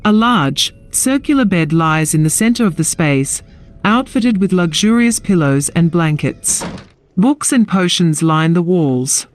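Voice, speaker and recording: A woman's voice: on the low side, expressive, a little slow. A woman narrating a scripted fantasy audio drama in a descriptive storytelling style. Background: music.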